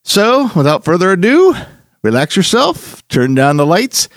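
A man hosting a show, reading a scripted introduction to old horror radio with theatrical, deliberate emphasis. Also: high voice, very animated, dry recording, studio quality. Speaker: podcast host.

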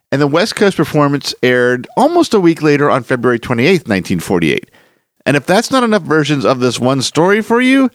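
A man's voice: medium pitch, dry recording, studio quality. A man hosting a podcast, reading a scripted introduction. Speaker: podcast host.

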